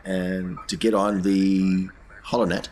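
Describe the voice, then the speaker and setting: A man playing a tabletop game, talking casually through his actions in a deliberate, explanatory way. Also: sits low, level tone, a little mellow, a little hiss or hum.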